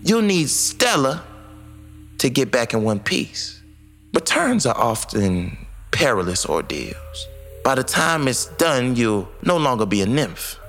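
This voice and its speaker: A man playing a formal, theatrical mentor and ceremony commentator in a sci-fi audio drama, with a grand, measured announcer manner. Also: medium pitch, sing-song, fairly bright tone, soft background music, full character voice. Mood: instructive.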